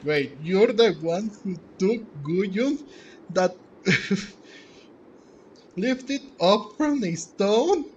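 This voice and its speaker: Unhurried male gamer, slightly high voice. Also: expressive, muffled recording, slight background noise. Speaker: video game streamer. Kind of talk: casual let's play commentary, reading dialogue aloud. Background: game music.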